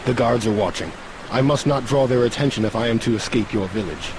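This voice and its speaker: A man playing an honourable older martial arts champion in a video game, formal, courteous and deliberate. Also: medium pitch, background noise, natural voice. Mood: earnest, concerned. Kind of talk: video game cutscene acting.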